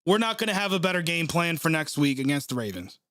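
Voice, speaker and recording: A man's voice: on the high side, big pitch swings, quick pace, fairly bright tone, no room sound, studio quality. Stuffy-nosed frustrated man streaming a game reaction. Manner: frustrated, sarcastic, swears often. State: stuffed up from a cold. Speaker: sports channel host reacting live. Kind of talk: live play-by-play reaction stream, reading chat.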